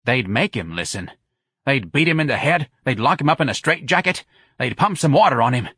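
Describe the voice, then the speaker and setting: A man voicing a bossy, sharp-tongued working-class woman in an audiobook, pushy and emphatic. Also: a little high, very animated, normal speed, husky, dry recording, clean studio sound, pitched above the performer's own voice, exaggerated voice. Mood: scolding, eager. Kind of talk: audiobook character dialogue.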